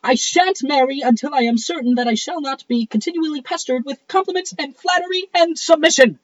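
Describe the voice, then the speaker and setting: A woman's voice: high-pitched, a little fast, dry recording, dull audio, close to an everyday voice. A woman playing a haughty, self-important widow in a comedic play reading, speaking in a grand, emphatic, theatrical way. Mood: indignant, imperious.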